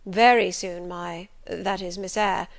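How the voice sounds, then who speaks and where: A woman voicing an imperious, teasing middle-aged gentleman in an audiobook, with formal, pompous and emphatic delivery. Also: on the low side, fairly even intonation, takes it slowly, creaky. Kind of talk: audiobook character dialogue.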